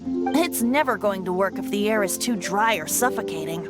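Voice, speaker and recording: A woman's voice: mid-range voice, expressive, moderate pace, over loud music, natural voice. A woman voicing a boyish, playful young male bard in an anime-style video game, airy and theatrical. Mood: thoughtful.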